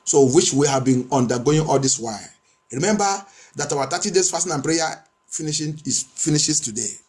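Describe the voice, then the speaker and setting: Male pastor, higher-pitched voice, declamatory and repetitive, delivering insistent prayer and sermon. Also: lively intonation, moderate pace, darkish tone. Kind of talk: bilingual sermon and fervent prayer.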